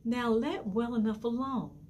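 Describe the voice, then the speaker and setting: A woman's voice: on the high side, animated, a little slow, a little mellow, slight background noise, pitched above the performer's own voice. Frightened fisherman in a storybook, a woman putting him on, meek and pleading. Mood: timid. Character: timid, frightened old fisherman. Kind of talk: children's storybook reading.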